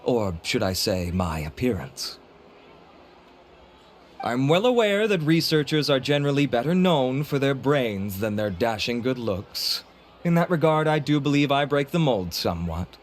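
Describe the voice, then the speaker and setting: An actor playing a handsome, vain, suave inventor who gives a grandiloquent, theatrical sales pitch in a video game. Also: male voice, big pitch swings, a little slow, slight background noise. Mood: smug. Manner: pompous, grandiloquent. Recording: music bed behind voice.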